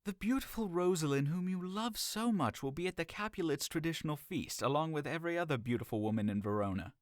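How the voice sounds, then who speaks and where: A man playing a teasing, persuasive young friend with an animated manner in an audio drama. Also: a little high, quick pace, dry recording, studio quality, close to an everyday voice. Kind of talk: audio drama acting of modernized Shakespeare.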